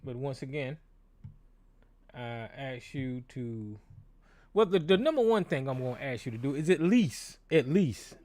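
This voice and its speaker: A man's voice: medium pitch, big pitch swings, measured pace, a little mellow, no room sound. A man hosting a call-in show, talking bluntly and with a lot of profanity, often restarting his sentences. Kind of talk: casual call-in show monologue. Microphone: desk microphone with board.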